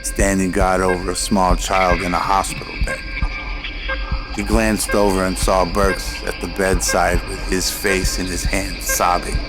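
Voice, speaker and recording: A man's voice: low-pitched, level tone, a little slow, poor audio. A man narrating a scripted radio drama. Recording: loud music behind narration.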